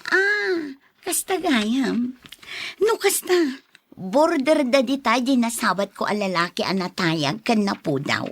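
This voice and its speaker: A woman's voice: fairly high pitch, big pitch swings, takes it slowly, exaggerated voice. An actress in an Ilocano radio drama playing a giddy, smitten young woman, playful and pouty. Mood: curious.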